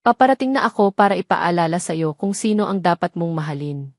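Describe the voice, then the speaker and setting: A woman's voice: lowish voice, expressive, fast talker, no echo, clean studio sound, close to an everyday voice. Spoiled young heiress acted by a woman narrator, sugary on top, cold and possessive beneath, code-switching, for audio drama. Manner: sugary, code-switching between Tagalog and English. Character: scheming rich young woman, falsely sweet, possessive and cold.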